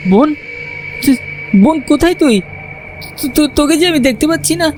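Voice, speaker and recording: A man's voice: extremely high pitch, a little fast, a little mellow, soft background music. A man playing a frightened young villager in a horror story, telling it in suspenseful first person and stammering when he addresses others. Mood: frightened, pleading.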